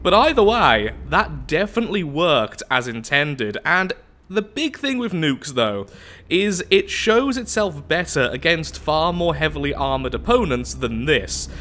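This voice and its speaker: A man hosting casual gameplay commentary while sick with the flu and losing his voice, speaking in a lively, upbeat way. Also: on the high side, a little slow. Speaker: gaming channel host.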